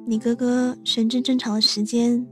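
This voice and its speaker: A woman playing a calm, matter-of-fact young girl in an audio drama. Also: on the high side, normal speed, soft background music, lightly acted. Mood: calm, explaining. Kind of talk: Mandarin audio drama acting.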